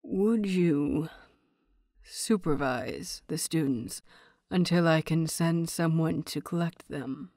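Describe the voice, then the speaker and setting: A woman's voice: low voice, slow pace, dark tone, no echo, studio quality, pitched below the performer's own voice. A woman voicing a stern old male master in a story, terse and reluctant, as if each word is forced out. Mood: bitter, reluctant.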